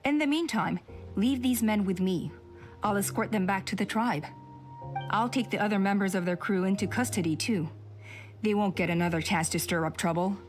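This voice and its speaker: A woman voicing a calm, cautious woman elder of a tribe in a video game, speaking in a measured, cautioning way. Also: medium pitch, faint music behind, close to an everyday voice.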